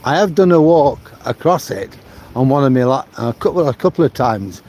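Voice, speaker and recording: A man's voice: medium pitch, expressive, moderate pace. A man in his seventies from Manchester with a Mancunian accent, chatting on a live stream and greeting viewers by name as he walks by the sea. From Manchester, England. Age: 70s. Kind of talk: casual live-stream chat reading viewer comments. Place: seaside promenade outdoors at night. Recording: loud wind noise on the mic. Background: wind and sea noise.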